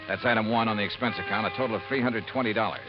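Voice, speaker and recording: An actor playing a brisk, wry insurance investigator in a 1950s radio detective drama, clipped and dramatic. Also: male voice, fairly low pitch, close to an everyday voice. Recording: dull vintage radio recording with music.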